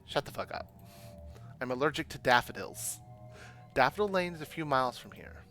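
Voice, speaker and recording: A man puts on a bossy young university woman from a visual novel. The delivery is imperious and self-important, with swinging pitch. Music plays underneath. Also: sing-song, moderate pace, pushed and bright. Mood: smug, imperious. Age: young adult. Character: haughty, bossy young woman student obsessed with her thesis.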